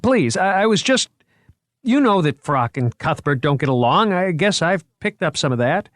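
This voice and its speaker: A man's voice: sits high, sing-song, a bit bright, dry recording, clean studio sound, voice pushed up. A man doing an eager, jittery young curator. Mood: flustered. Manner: eager, rapid, stammering when flustered. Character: eager nervous young male museum curator. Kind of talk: audiobook character voice.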